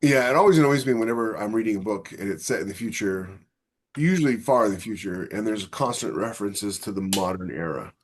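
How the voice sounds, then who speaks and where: A man with a fairly low voice talks as a podcast co-host. His delivery is casual and conversational, and he argues his opinions with illustrative mock quotes. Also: expressive, fairly quick. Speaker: podcast co-host and science fiction author.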